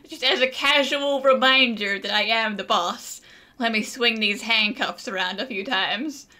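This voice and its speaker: A woman's voice: a little high, animated, slow, sharp tone. A woman giving playful, sarcastic gameplay commentary, joking along as she voices her own side of the dialogue. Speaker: gaming channel host.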